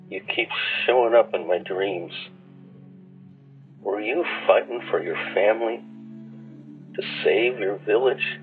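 An actor plays a haunted, weary war veteran in a radio drama. His voice is deep and very slow, reflective and confessional. It sounds narrow and radio-like, with faint music. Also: lightly acted. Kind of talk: radio play monologue.

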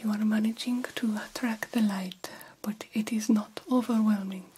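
Woman acting as female vampire doctor, breathy whisper, formal, gently encouraging, very even pitch, ASMR roleplay, clear background noise. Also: normal speed, lightly acted. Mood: reassuring, formal.

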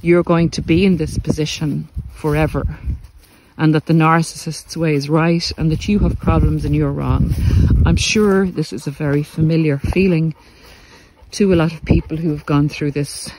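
A man giving a reflective, earnest monologue to listeners, speaking deliberately in short phrases with pauses. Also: a little high, fairly even intonation, background noise. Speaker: podcast host and narcissistic abuse coach. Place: outdoors in the countryside, walking. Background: country sounds.

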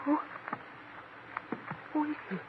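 A woman's voice: takes it slowly, natural voice. A woman playing a guarded, commanding woman of intrigue in a 1950s radio drama, speaking formally and precisely. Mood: shaken. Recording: tinny old radio with light noise.

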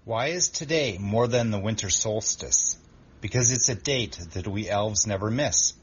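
A low, slightly husky Christmas elf, voiced by a man recites storybook rhymes. The delivery is earnest and measured, and the recording sounds dull. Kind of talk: rhyming children's verse reading.

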